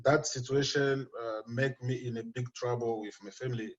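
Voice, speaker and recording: A man from Africa, speaking halting non-native English with restarts, reads out his personal account of detention on a video call. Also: medium pitch, steady tone, muffled recording. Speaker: panelist sharing detention story.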